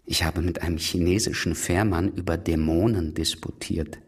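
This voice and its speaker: A courteous, well-travelled cynic for radio drama. His low voice is distinctly husky, and he talks with polished irony that turns gently on himself. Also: fairly even intonation, moderate pace. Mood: urbane, reflective. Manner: urbane, ironic, courteous. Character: worldly, mocking, self-deprecating male globetrotter named Bernhard. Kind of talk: German radio drama acting.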